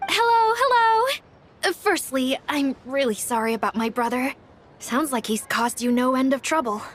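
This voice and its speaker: A woman's voice: high-pitched, expressive, normal speed, a bit bright, slight background noise. A woman voicing a chatty young little sister in a game cutscene, frank and a little reproachful. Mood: friendly. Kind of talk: animated game cutscene acting.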